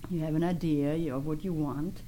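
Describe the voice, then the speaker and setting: A woman success coach calmly explaining her ideas in a studio interview. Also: deep voice, slight background noise, rough recording. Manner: calm, measured, explanatory.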